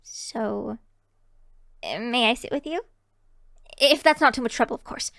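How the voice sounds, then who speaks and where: Woman acting shy, cheery high-voiced fox-girl barista. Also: unhurried, creaky, fairly bright tone, no room sound, clean studio sound. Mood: bashful, hopeful. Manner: bashful, hesitant, giggly, chatty. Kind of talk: ASMR roleplay, speaking to the listener.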